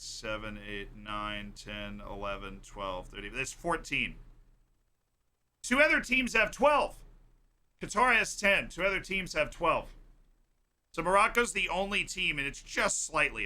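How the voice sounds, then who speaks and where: This is a man streaming live, with a very bright, forceful voice pitched a little on the high side. He talks casually to his viewers like a quizmaster among friends. His delivery is playful and teasing, with emphatic stresses.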